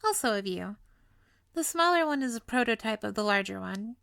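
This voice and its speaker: A woman's voice: fairly high pitch, animated, moderate pace, vocal fry, fairly bright tone, dry recording, studio quality. A woman playing a sweet, clingy, obsessively lovestruck young witch in an intimate comedic roleplay, with a cutesy, gushing, playful manner. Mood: cheerful, showing off. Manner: cutesy, gushing, flustered stammers, playful asides. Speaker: audio roleplay voice actor.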